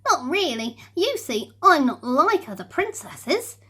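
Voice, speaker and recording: A woman's voice: high-pitched, sing-song, a little slow, fairly bright tone, voice pushed up, exaggerated voice. Woman voicing a cheerful, kind young princess. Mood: warm.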